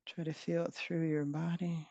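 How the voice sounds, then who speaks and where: A woman leading a guided meditation as a teacher, speaking in gentle, unhurried phrases with long pauses. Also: low voice, flat delivery, dry recording. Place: online retreat session, close dry sound. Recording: dull, band-limited online call audio.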